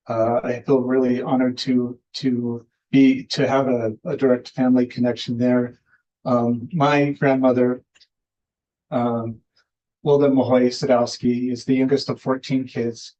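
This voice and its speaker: Man panelist, mellow low-key voice, flat delivery, hesitant storyteller, dull lo-fi sound. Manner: hesitant, many fillers and restarts. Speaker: panelist, Iosepa descendant. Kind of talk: personal storytelling at a community panel.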